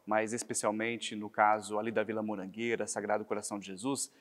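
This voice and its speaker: A man's voice: on the low side, flat delivery, fairly quick. Brazilian male interviewer, reverberant hall. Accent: Brazilian Portuguese. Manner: friendly, formal address. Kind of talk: interview questions.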